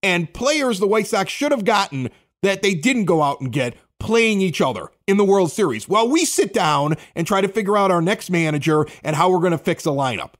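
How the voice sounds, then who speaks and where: A man's voice: sits high, expressive, a little fast, fairly bright tone. A man hosting a sports podcast, speaking in a conversational, presenter-like way. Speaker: podcast host. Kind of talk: sports talk and sponsor reads.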